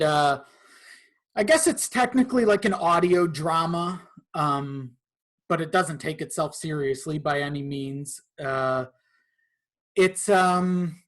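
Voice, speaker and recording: A man speaking as a guest in a casual podcast interview, explaining things in a halting way. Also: a little high, fairly bright tone. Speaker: podcast guest, content creator.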